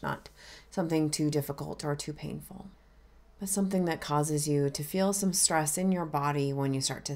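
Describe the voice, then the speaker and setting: Lady minister leading a meditation, deep voice w/ some fry, soft and unhurried. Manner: gentle, unhurried, inviting. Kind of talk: guided meditation.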